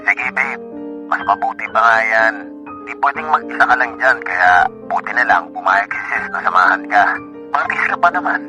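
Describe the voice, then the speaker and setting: An actor playing a steady, reassuring, responsible boyfriend working abroad, in a Filipino radio drama with a Filipino accent. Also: male voice, lowish voice, normal speed, lightly acted. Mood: caring, reassuring. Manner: reassuring, considerate. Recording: studio drama over clear background music.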